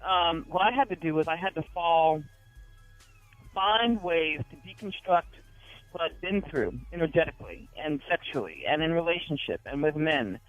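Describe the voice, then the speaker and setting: A woman guest on a talk show, reflecting earnestly and deliberately, with emphatic phrasing, through dull lo-fi radio sound. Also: low-pitched, no echo. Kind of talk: earnest personal reflection in discussion.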